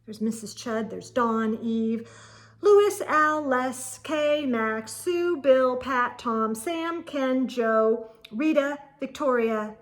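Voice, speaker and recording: A woman reads a picture book aloud for children. Her voice is high, and her pace is very slow and deliberate. She delivers the story theatrically, lingering on key words. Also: expressive. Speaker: storytime reader.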